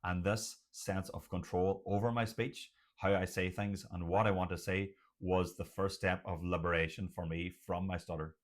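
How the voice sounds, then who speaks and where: Low-voiced man giving an earnest motivational talk. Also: flat delivery. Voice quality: stutter. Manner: earnest, emphatic, repetitive phrasing in short measured phrases. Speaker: doctor sharing personal experience of stuttering. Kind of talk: motivational personal talk to viewers.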